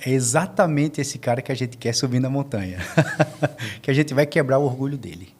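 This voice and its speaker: Brazilian man explaining in a halting way. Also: medium pitch, slightly rough. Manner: halting, searching for words, repetitive. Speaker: podcast guest, media team member. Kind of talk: conversational explaining.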